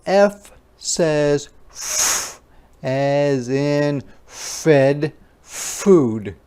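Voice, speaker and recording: A teacher reading aloud to young children in an interactive phonics lesson, deliberately repeating sounds and words and adding simple explanations. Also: medium pitch, animated, darkish tone, clean tone. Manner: child-directed explanatory asides.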